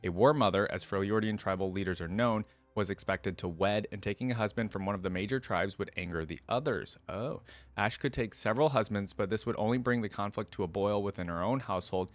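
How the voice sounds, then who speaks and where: Low-pitched man, casual reaction host. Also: a little fast. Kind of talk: casual reaction commentary mixed with reading text aloud.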